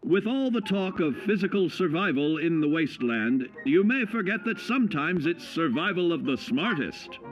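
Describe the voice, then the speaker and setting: Chirpy retro instructional-film narrator, lively pitch. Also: male voice, poor audio. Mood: upbeat, instructive. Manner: folksy, upbeat salesman-like delivery with measured emphasis. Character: cheerful male narrator of retro government survival educational films. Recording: vintage band-limited film sound, music behind. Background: music.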